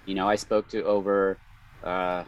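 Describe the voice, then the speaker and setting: A man with a slightly strained, hoarse voice, telling wry anecdotes as a podcast guest. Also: deep voice, level tone, measured pace, dull audio. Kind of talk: casual chat, anecdotes.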